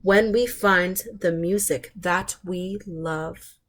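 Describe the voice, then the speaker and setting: A woman's voice: lowish voice, takes it slowly. A woman voicing a tall, clumsy male giraffe in a children's storybook, earnest and sensitive.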